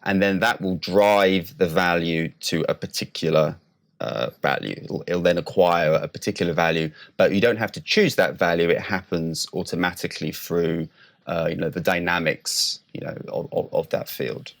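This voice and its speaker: A male scientist with a deep voice answers as an interview guest. His delivery is explanatory and measured, building precise definitions one step at a time. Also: fairly even intonation, creaky.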